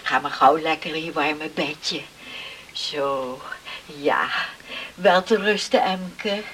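Gentle, slow-spoken mother. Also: sits low. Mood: tender, soothing. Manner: soft, tender, pleading. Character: gentle, soothing island mother and pleading wife. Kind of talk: radio play acting. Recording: hissy old radio recording.

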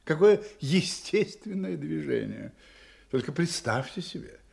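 A coolly confident gentleman detective in a theatrical radio play. The voice is slightly high and highly animated in pitch. He speaks deliberately, with wry irony and feigned humility. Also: vocal fry, full character voice. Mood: mock admiration. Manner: wry, mock-humble, deliberate.

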